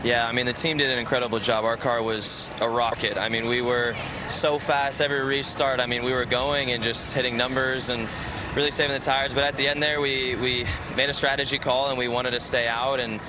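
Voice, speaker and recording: Fast-talking racing driver amid loud noise. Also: male voice, medium pitch, fairly even intonation. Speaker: racing driver being interviewed. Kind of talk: casual post-race interview. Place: outdoors at a racetrack. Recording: loud outdoor racetrack noise.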